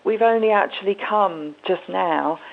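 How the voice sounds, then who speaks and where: A woman's voice: mid-range voice, unhurried, gravelly. A woman calling in as a listener to give her comment. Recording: narrow phone line.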